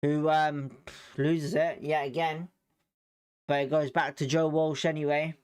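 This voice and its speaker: A man's voice: on the high side, level tone, measured pace, a little mellow, smooth. A man with a British accent giving informal live football commentary as a fan host, blunt, slangy and sweary in his reactions.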